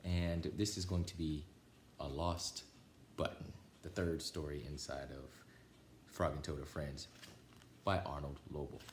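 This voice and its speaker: A man's voice: extremely low pitch, little pitch movement, measured pace, pauses often, roomy sound, a little hiss or hum. A man reading a children's storybook aloud as a storytime narrator, with some casual chat to listeners. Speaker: storytime reader.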